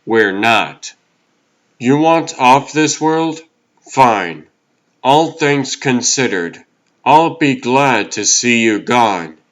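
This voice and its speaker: A man's voice: mid-range voice, expressive, drawn-out words. A man playing a defiant, plainspoken superhero in a comic dub, with a dry, firm, unimpressed delivery. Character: defiant, wary adult superhero, plainspoken and stern.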